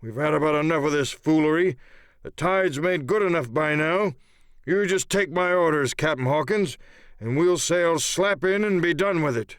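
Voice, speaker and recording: A man voicing a wounded, sly old pirate coxswain with rough rustic sailor's speech, in a dramatised audiobook. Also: fairly high pitch, moderate pace, no room sound, clean studio sound, pitched above the performer's own voice, lightly acted. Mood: impatient, commanding. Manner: sly, blunt, commanding.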